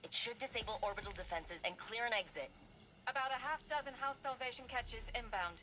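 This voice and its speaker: An actress playing a brisk, capable female mission operator giving businesslike instructions in a video game. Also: on the high side, sharp tone, a little hiss or hum, low-quality recording. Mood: urgent, commanding. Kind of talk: game mission briefing acting.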